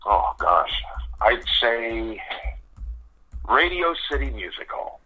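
A man telling stories as an interview guest, animated and laughing often in the middle of his sentences. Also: medium pitch, takes it slowly, husky, soft background music, rough recording. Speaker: impressionist guest. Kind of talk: casual radio interview.

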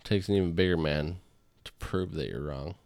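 A man in his late twenties guests on a podcast and throws in short, deadpan one-liners. His voice is very low and recorded close and dry. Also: normal speed, creaky, no room sound. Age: 20s. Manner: deadpan wisecracks. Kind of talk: casual chat.

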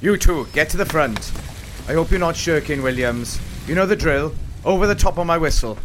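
Clear noise and clicks surround a harsh officer, acted by a man in a radio war play. His voice is fairly high for a man, barking orders with a taunting edge. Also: moderate pace, natural voice. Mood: commanding. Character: harsh British officer driving soldiers over the top. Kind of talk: radio drama acting.

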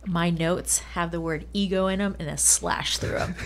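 Thoughtful woman with a lower-pitched voice. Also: fairly even intonation, moderate pace, slight background noise. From Colombia. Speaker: interview guest, healthcare department leader. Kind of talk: conversational interview, reflective answers.

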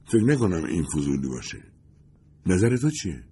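A man's voice: sits low, very animated, dark tone, a little hiss or hum. A man voicing a talkative, glamorous woman who gushes and wants to be liked. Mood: chatty. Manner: gushing, eager to please.

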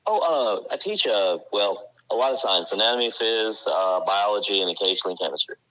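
A man's voice: on the low side, lively intonation, fairly quick, pushed and bright, rough recording. A man, chatting as a guest and laughing often. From South Carolina, US. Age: 40s. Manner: friendly, laughs often, stammers on restarts. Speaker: guest, college science teacher. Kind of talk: casual interview chat.

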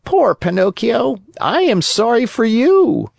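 Narrator doing a preachy old storybook cricket, high and calm, slow. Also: creaky, no echo, exaggerated voice. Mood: calm lecturing. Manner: calm, lecturing.

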